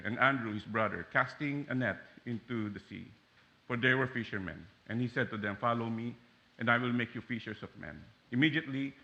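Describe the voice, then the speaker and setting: Filipino guy in his 40s preaching at church, bright forceful voice, chatty with lots of um and uh, dull lo-fi sound. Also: medium pitch. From Philippines. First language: Taglish. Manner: animated, jokey, frequent fillers and restarts. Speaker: guest pastor. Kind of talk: sermon. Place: church sanctuary.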